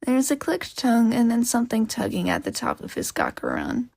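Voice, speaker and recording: Expressive woman narrator with creaky, husky voice. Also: on the high side, fairly quick, no echo. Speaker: podfic narrator. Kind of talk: expressive scripted fiction narration.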